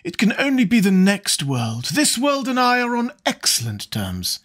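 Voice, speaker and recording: A man's voice: high-pitched, huge pitch swings, normal speed, a bit bright, pitched above the performer's own voice, full character voice. A man doing a drawling cynical aristocrat. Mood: mocking. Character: witty, languid aristocratic gentleman, cynical.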